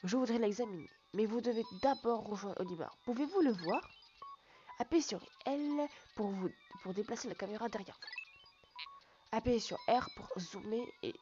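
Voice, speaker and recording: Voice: mid-range voice, lively intonation, moderate pace, darkish tone, highs cut off, soft background music, lightly acted. A young player reading a ship's computer. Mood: informative. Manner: reads on-screen game text aloud plainly. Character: ship's computer voice from a video game.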